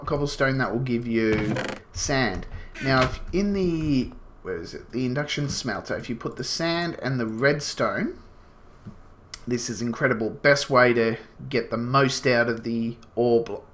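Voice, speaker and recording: Man, gameplay commentary, slight cold, sniffly, rambling with fillers, bright tense tone. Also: mid-range voice. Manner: rambling, explains as he goes, many fillers. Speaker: gaming channel host.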